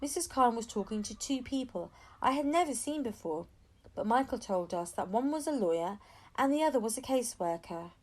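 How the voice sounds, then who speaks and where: A woman reading a children's novel aloud as an expressive storyteller. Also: fairly high pitch, a little fast. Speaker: audiobook reader.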